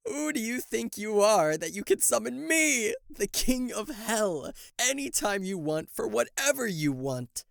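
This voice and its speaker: A man's voice: high voice, big pitch swings, a little slow, creaky, few pauses, fairly bright tone, dry recording, studio quality, heavily acted. A man playing a cocky, easily flustered king of hell in an ASMR roleplay, sarcastic, indignant and theatrical, speaking to the listener. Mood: incredulous, mocking.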